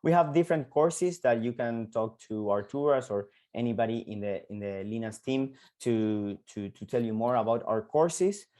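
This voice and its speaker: A man in his thirties from Argentina with an Argentine Spanish accent, giving an online entrepreneurship lesson and often repeating words and filling pauses. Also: mid-range voice, animated, mellow. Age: 30s. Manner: halting, frequent repeated words and fillers. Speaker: entrepreneurship coach. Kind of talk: online lecture to students.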